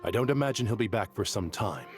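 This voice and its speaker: Man as a low-voiced, caring ranger mentor. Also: lively intonation, faint music behind, close to an everyday voice. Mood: reassuring. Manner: measured, reassuring. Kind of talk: animated video game voice acting.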